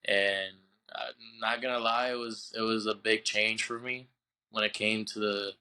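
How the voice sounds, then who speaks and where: A young man from Puerto Rico, a baseball player being interviewed on a podcast, telling his story in a halting way and often repeating words as he searches for the English. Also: lowish voice, little pitch movement, bright, forceful tone, smooth, low-quality recording. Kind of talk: casual interview.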